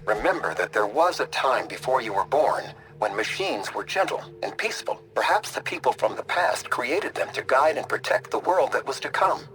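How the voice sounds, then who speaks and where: A man voicing a scholarly, patient older mentor, explaining in a measured, erudite way in an animated game cutscene. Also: mid-range voice, faint music behind, close to an everyday voice, low-quality recording. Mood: thoughtful.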